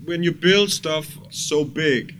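A man's voice: a little high, slow, a bit bright. A man explaining things casually as a music producer being interviewed, in slightly non-native English phrasing. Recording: clearly noisy background ambience.

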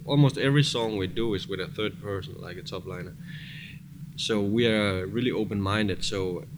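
A man's voice: lowish voice, moderate pace. A man talking casually and informally as a music producer being interviewed, with slightly non-native English phrasing. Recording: clearly noisy background ambience.

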